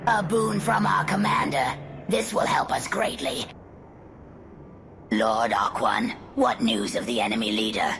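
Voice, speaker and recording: A calculating undead spider sorceress, extremely low and gravelly, with swooping pitch and a whispery hiss. She speaks in formal, measured game-cutscene lines over music. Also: lively intonation, exaggerated voice. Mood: expectant. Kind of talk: fantasy game cutscene dialogue. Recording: loud music behind the voice.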